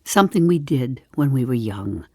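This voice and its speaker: An actress in her seventies playing a darkly comic old woman in an audio drama monologue, confiding in a deliberate way with dry understatement. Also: rumbling low, lively intonation, no room sound, heavily acted. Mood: confiding, ominous. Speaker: actor in a one-woman play.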